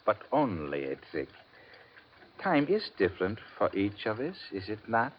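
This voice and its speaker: An actor playing a sly, unruffled pawnbroker in a radio suspense drama, speaking formally and precisely in cryptic, measured phrases. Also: male voice, lowish voice, huge pitch swings. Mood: sly, philosophical. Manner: formal, measured, philosophical, precise diction without contractions. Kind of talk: 1950s radio suspense acting. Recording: dull, noisy 1950s radio recording.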